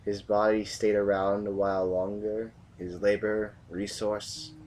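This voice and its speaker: A man's voice: fairly low pitch, level tone, unhurried, darkish tone, slight background noise, close to an everyday voice, rough recording. A man acting a hardened, brooding military commander with a grave, formal delivery in a science fiction audio drama. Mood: solemn, reminiscing.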